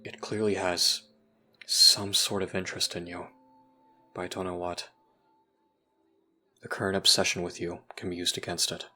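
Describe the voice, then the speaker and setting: A man's voice: low voice, little pitch movement, moderate pace, pauses often, pushed and bright, slight background noise. A man acting in an audio roleplay as a jaded, sardonic human youth trapped in a time loop, talking conspiratorially and swearing casually. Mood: serious, conspiratorial.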